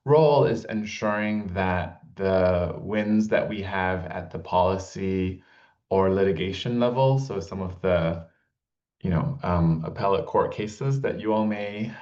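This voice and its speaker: Low-voiced attorney on dull audio. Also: male voice, a little mellow. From Malaysia. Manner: measured, hesitant, many fillers. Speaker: attorney, guest speaker.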